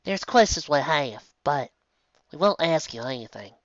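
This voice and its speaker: A man voicing an excitable, bold young woman in a fan storybook reading. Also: on the high side, moderate pace, no room sound, dull audio. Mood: excited.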